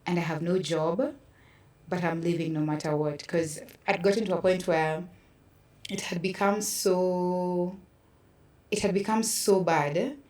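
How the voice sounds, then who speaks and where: A woman's voice: fairly low pitch, steady tone, measured pace, darkish tone. A woman with a Kenyan accent speaking earnestly and reflectively in a conversational interview. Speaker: podcast guest, artist. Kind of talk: personal testimony in conversation. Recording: roomy echo, distant from mic.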